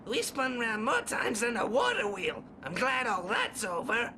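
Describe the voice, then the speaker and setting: A man's voice: very high-pitched, wildly swooping pitch, unhurried, noisy background, exaggerated voice. A man voicing a bossy, exasperated companion in a children's cartoon, scolding and mocking. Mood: weary, matter-of-fact. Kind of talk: animated children's cartoon acting. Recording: cartoon soundtrack with loud music.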